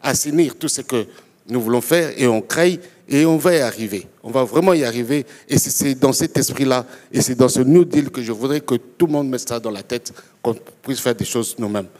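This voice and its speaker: A man's voice: mid-range voice, fairly quick, a little mellow. A man from DR Congo makes impassioned remarks as a panelist at a forum. His voice sits in a medium register. It rings through a big, reverberant hall. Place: large conference hall.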